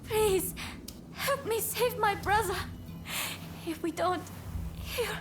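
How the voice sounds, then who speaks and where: An actress playing a polite, soft-spoken and anxious young Japanese wife in a video game cutscene. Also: female voice, very high voice, slow pace, over music. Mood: pleading, distressed.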